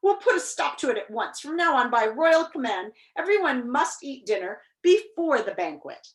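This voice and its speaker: A woman's voice: sits high, very animated, moderate pace, echoey room, voice pushed up. A woman voicing a regal, declamatory storybook king who is flustered and melancholy. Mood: indignant.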